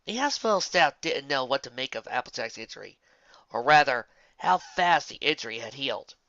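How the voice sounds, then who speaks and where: A man reading scripted story narration as an amateur, expressive and occasionally stumbling over the text. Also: medium pitch, fairly quick, no room sound, highs cut off.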